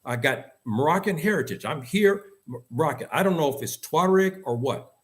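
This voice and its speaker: A man's voice: fairly high pitch, lively intonation, normal speed. A man with an American accent giving opinionated, unscripted talk in an emphatic, insistent manner, repeating phrases for stress. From United States. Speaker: host and commentator.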